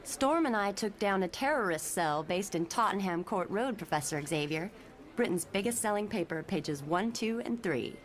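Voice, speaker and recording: A woman's voice: mid-range voice, lively intonation, moderate pace, slight background noise, natural voice. A woman voicing a proud young student heroine with a boastful manner in a motion comic.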